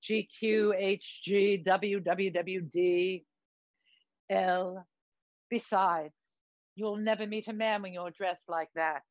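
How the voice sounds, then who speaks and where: A woman's voice: mid-range voice, steady tone, takes it slowly, dry recording, lightly acted. A flamboyant, self-dramatizing man played by a woman speaks in an affected British accent. The delivery is grand and campy, as in a theatrical monologue, and the recording is dry and slightly muffled. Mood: breezy, campy. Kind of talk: theatrical monologue, acted dialogue.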